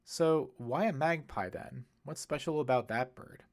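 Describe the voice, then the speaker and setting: A man's voice: medium pitch, measured pace, lightly acted. A man voicing a curious, moody teenage girl in an audiobook, with a direct, questioning manner. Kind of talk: audiobook character dialogue.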